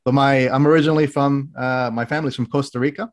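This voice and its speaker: A man's voice: medium pitch, fairly even intonation, fast. A man from the Middle East talking as a podcast guest about his engineering background, explaining in detail and restarting his phrases often. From grew up in the Middle East. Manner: explanatory, many restarts and fillers, precise technical wording. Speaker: guest, health tech director. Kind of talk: casual interview talk.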